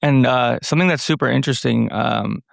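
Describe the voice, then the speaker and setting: A man co-hosts a podcast in casual conversation, his mid-pitched voice heavy with fry and creak. It is recorded dry and close. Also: brisk, no room sound. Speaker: podcast co-host.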